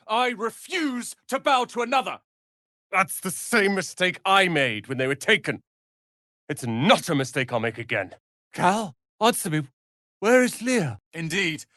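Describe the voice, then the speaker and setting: An actor playing a proud, bitter young tiefling wizard in a video game, speaking in a haughty, theatrical, sardonic way. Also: male voice, a little high, pushed and bright, no room sound, clean studio sound, heavily acted. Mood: bitter, outraged, then anguished, urgent, then defiant. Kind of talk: video game character acting.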